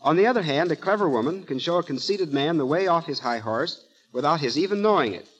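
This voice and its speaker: A man with a slightly high voice speaks like a professor. His delivery is formal, precise and didactic, clearly read from a script for 1930s radio. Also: expressive, quick pace, fairly bright tone, highs cut off, a little hiss or hum. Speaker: guest psychologist, professor.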